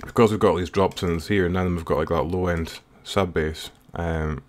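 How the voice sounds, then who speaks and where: Low-voiced man explaining music software. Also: steady tone, a little fast. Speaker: music producer giving a tutorial. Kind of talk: casual screen-recorded software tutorial. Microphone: voice-over recorded while working at computer.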